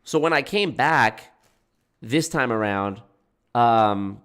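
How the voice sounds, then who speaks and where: A man's voice: medium pitch, animated, takes it slowly, fairly bright tone, smooth. A man with a nasal, congested voice, talking casually to his livestream chat as a content creator and often restarting his sentences. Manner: rambling, self-correcting, frequent restarts. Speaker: anti-MLM YouTube creator.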